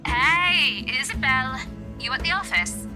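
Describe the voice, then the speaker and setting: An impatient, breezy female coworker calling in a visual novel game. Her voice is high, her manner brisk and informal, and music is clearly heard underneath. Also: rough recording. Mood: cheerful, teasing.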